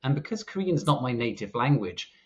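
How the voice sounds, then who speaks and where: A man's voice: medium pitch, big pitch swings, a little fast. A British man hosting a podcast interview, speaking casually and hesitantly, with frequent restarts. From Britain. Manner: hesitant, many restarts and fillers. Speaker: podcast host. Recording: slightly dull, muffled audio.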